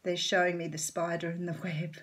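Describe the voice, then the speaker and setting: Australian woman, rather low and husky, croaky in the morning, rambling tarot-reader chat. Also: flat delivery, a little fast. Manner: chatty, warm-hearted, rambling with many ums. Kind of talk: casual tarot reading vlog.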